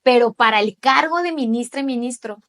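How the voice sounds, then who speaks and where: Woman, late 30s, Mexican accent, slightly high voice, emphatic explainer in an interview. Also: brisk, a bit bright. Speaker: interviewee, judicial candidate.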